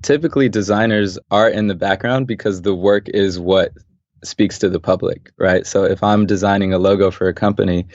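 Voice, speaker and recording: A young man from Los Angeles, a podcast guest and designer, talking thoughtfully and calmly in a relaxed interview and laughing easily. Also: sits low, fairly quick, no room sound. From Los Angeles, USA. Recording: dull, dry podcast audio.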